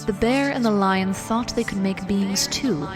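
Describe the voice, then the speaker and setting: A woman's voice: medium pitch, measured pace. A woman reading an ancient creation myth as scripted storybook narration in an audio drama. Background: prominent background music.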